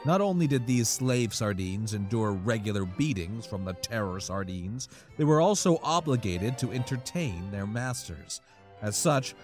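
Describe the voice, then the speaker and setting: A man narrating a scripted comic horror story in a dramatic, profane storytelling manner. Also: mid-range voice.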